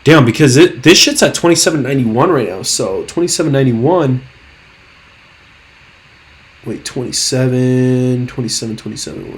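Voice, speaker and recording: A young man with a hoarse, worn voice hosting a trading channel, talking through stock charts in a hyped, slangy, rambling way full of fillers. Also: mid-range voice. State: hoarse, losing his voice. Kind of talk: casual screen-share market commentary.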